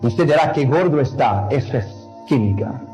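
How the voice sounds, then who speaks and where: A man preaching a sermon, emphatic, repeating key words and dropping his voice low on the punchlines. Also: animated, normal speed, dark tone, faint music behind, low-quality recording.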